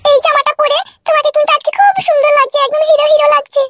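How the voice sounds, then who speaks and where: Sweet squeaky village wife for a comedy cartoon, tiny high voice, talks fast. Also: female voice, flat delivery, heavy background noise. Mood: sweet, affectionate.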